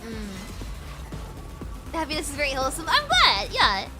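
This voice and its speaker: A woman's voice: high voice, wildly swooping pitch, moderate pace, loud music behind the voice, low-quality recording. A young woman streaming a game in casual chat, bubbly and giggly, rambling and repeating her words, switching between British and American accents. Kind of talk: casual chat while gaming.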